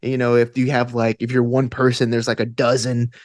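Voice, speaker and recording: A man's voice: medium pitch, monotone, brisk, a little mellow, no echo. A man in his twenties with an Alabama Southern accent talks in a medium register. He is an enthusiastic interview guest with loose, slangy, conversational phrasing. The sound is dry, with no room to it. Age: 20s. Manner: enthusiastic, informal slangy talk. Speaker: guest, actor and stage combat student. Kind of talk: casual interview chat.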